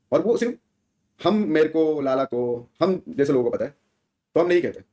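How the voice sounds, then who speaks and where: A man's voice: mid-range voice, warm tone. A man speaking as a gaming streamer in a casual, slangy rant to viewers, often seeking their agreement. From India.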